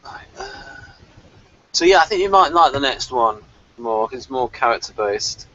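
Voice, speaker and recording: A man hosts a casual review chat in a bright, forward voice of medium pitch. He speaks informally, as in a conversation. The recording is dull and lo-fi, like an internet call. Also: expressive, moderate pace, dry recording. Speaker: review show host. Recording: muffled lo-fi call audio.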